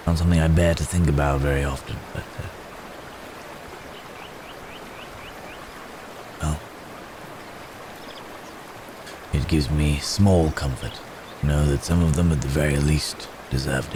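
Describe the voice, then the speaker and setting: Very deep, troubled wounded werewolf man, acted. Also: background noise. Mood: somber, weary. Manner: halting, formal old-fashioned phrasing, sighing. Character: gentle, troubled wounded man cursed as a werebeast. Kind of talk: ASMR roleplay acting.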